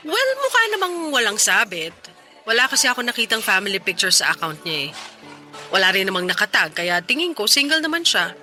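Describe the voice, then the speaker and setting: A very bright, lively smitten young-sounding Filipino woman in a radio drama. She is coy and playful with her best friend, her pitch swinging freely, with music behind her. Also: a little fast. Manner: bubbly, coy, playful, girlish. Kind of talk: Tagalog radio drama dialogue. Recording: music bed behind the dialogue.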